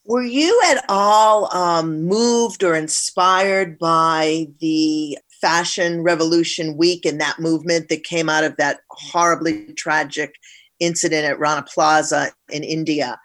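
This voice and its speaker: A woman's voice: sits low, fairly even intonation, a little slow, a bit bright. A woman hosting an interview show, speaking in a presenter's style as she introduces topics and questions her guest. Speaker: show host.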